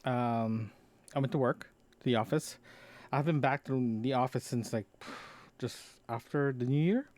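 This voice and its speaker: A man's voice: mid-range voice, normal speed, a little mellow. A man recording a casual audio diary, talking in a rambling, conversational way and often correcting himself as he goes. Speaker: podcast host.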